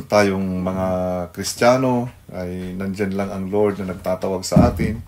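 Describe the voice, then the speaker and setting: A male Filipino pastor hosting a devotional radio program, speaking haltingly and searching for words. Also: low-pitched, steady tone, darkish tone. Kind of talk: devotional talk mixing Tagalog and English.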